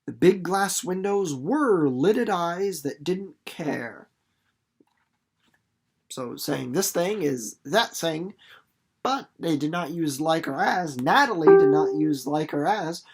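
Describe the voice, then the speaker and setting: A man reading a story aloud expressively and explaining it casually in an animated, folksy, playful teacher's manner. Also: fairly high pitch, slow pace, husky.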